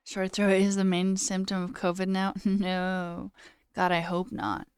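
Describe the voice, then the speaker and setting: A woman's voice: medium pitch, completely flat delivery, measured pace, smooth, no room sound, studio quality. A young woman with a slight sore throat, casually chatting on a live coding stream, reading chat aloud and muttering at her code. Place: small room indoors.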